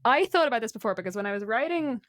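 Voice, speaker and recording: A woman's voice: on the high side, animated, very fast, a bit bright, no echo, clean studio sound. A woman talking casually about folklore and games, opinionated and given to rambling asides.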